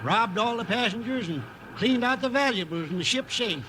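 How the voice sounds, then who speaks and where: An actor playing a seasoned, folksy Old West steamboat captain in a 1950s radio western, talking in salty nautical terms. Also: male voice, very high voice, expressive, moderate pace, background noise. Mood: grave. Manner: folksy nautical drawl, barks orders.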